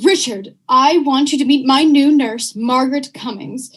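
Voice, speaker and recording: A woman's voice: sits high, moderate pace, breathy edge. A young woman playing a demanding, jealous middle-aged wife in a vintage radio drama, with an imperious, nagging manner. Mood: curt. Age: young adult.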